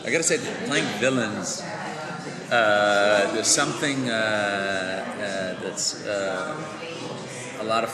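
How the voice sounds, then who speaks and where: A man in his forties with a deep voice, chatting casually in a press interview with many restarts and fillers. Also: slow pace. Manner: relaxed, chatty, many restarts and fillers, gestures while talking. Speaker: actor being interviewed. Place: large convention press room. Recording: echoey hall with loud crowd. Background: loud crowd chatter.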